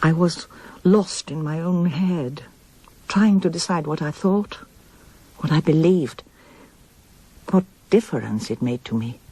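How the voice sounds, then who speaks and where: Slow, muted, troubled woman. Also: deep voice, mellow, husky, heavily acted. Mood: numb, reflective. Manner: subdued, introspective. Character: withdrawn, troubled woman seeking the truth about her father. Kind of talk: radio drama dialogue and reflective narration.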